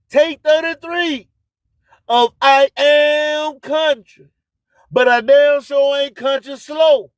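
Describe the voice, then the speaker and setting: A man hosting a radio show with a preachy, slogan-filled motivational monologue, speaking in deliberate phrases and rhyming wordplay. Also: extremely high pitch, dry recording.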